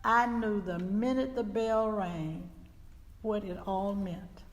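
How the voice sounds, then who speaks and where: A woman's voice: medium pitch, soft background music, close to an everyday voice. A woman playing a grieving 19th-century widow, recounting events solemnly in a dramatic reading. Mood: somber, measured. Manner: slow, deliberate, solemn storytelling. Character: grieving widow recounting her husband's murder, 1875 Mississippi. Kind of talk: dramatic reading of historical testimony. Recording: reverberant hall.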